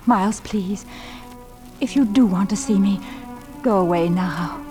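An actress playing a proper, spirited young widow in 1940s radio drama, prim, genteel and quick to bristle. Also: female voice, mid-range voice, music in the background, lightly acted. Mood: flustered. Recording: faintly noisy vintage radio broadcast.

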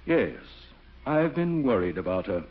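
A man's voice: mid-range voice, big pitch swings, breathy edge. An actor playing a courtly, sanctimonious older clergyman, formal and slightly absent-minded, in a 1940s radio comedy. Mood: solemn, courteous. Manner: formal, scripture-quoting, measured. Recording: muffled 1940s radio broadcast.

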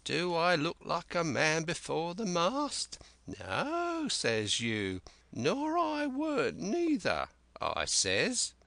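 A wild old castaway who rambles on eagerly in rustic dialect, his voice jumping high and swooping all over, as a male narrator puts him on. He talks slowly and brightly, close and dry. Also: wildly swooping pitch, vocal fry, dry recording, full character voice. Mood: rambling.

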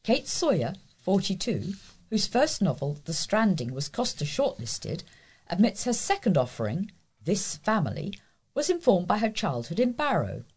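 British woman reading news, lively and slightly husky. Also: medium pitch, moderate pace. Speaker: volunteer newsreader. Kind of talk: scripted local news reading.

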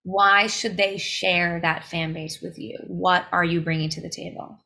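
A woman's voice: lowish voice, fairly even intonation, measured pace, creaky, sharp tone. A woman singer as a podcast guest, talking in emphatic bursts full of fillers and restarts. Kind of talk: casual interview chat.

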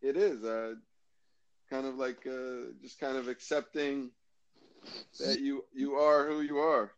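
An American man from Maryland, a guest in a casual interview, talking in a rambling, halting way. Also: medium pitch, flat delivery, muffled recording. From Maryland, USA. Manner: rambling, halting, lots of fillers and restarts.

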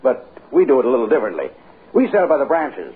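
A man's voice: medium pitch, wildly swooping pitch, quick pace, breathy edge, full character voice. An actor playing a courteous, slick tree salesman with unruffled patter, in 1950s radio comedy style. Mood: smoothly calculating. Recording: tinny, lo-fi old radio recording.

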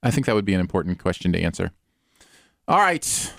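A man's voice: low voice, very animated, a little fast, vocal fry, a bit bright, no room sound, clean studio sound. A man co-hosting a live stream, joking around in casual chat. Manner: playful, jokey.

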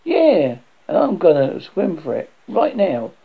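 Man as a bossy young jock, high-strung pitch, urgent slang, gravelly, dull sound. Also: huge pitch swings, measured pace, full character voice. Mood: brash, urgent. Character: brash, bossy, foul-mouthed young jock in danger. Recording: dull lo-fi recording.

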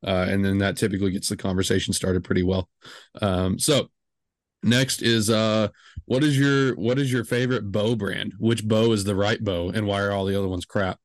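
A man's voice: on the low side, fairly even intonation, moderate pace, no echo. A man in his twenties hosting a podcast, chatting casually and rambling with lots of fillers and jokes. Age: 20s. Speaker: podcast host.

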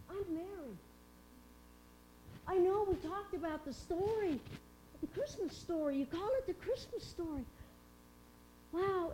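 A woman's voice: very high voice, a little mellow, a little hiss or hum, rough recording. A woman playing Mary, wide-eyed and earnest. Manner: earnest, wondering, emotional storytelling. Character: Mary, young mother of Jesus, awed and earnest. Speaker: dramatic monologue performer. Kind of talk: first-person biblical character monologue.

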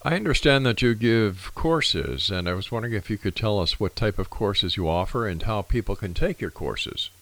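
A fairly low-voiced man hosts a late-night radio talk show. He is courteous and folksy with his guest and shifts into an announcer's delivery for his sign-offs. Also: lively intonation, moderate pace, few pauses, a bit bright. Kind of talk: radio interview hosting.

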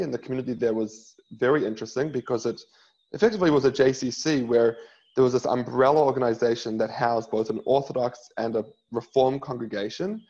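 A man in his thirties from New Zealand, a rabbi telling his life story as an interview guest in an earnest way, often pausing on uh. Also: medium pitch, gravelly, a little mellow, lo-fi sound. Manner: earnest, many filler uhs, restarts.

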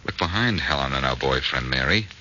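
An actor playing a confident, friendly amateur sleuth in a 1940s radio detective drama. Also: male voice, very deep voice, expressive, brisk, pushed and bright, full character voice. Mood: focused, upbeat. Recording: muffled, faintly noisy old radio recording.

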